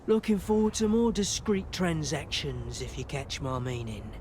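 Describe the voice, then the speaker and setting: A man's voice: on the high side, big pitch swings, moderate pace, noisy background. An actor playing a sly, ingratiating male dealer. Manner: smooth, ingratiating.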